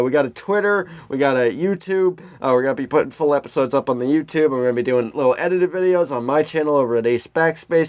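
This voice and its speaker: A man's voice: medium pitch, no echo. A man co-hosting a casual comedy podcast, talking in a rambling, self-deprecating way. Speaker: podcast co-host.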